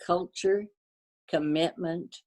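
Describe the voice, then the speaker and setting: Low-voiced older woman reminiscing. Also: fairly even intonation. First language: Danish. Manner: warm storyteller, halting with fillers and restarts. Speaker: guest. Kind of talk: casual reminiscing interview.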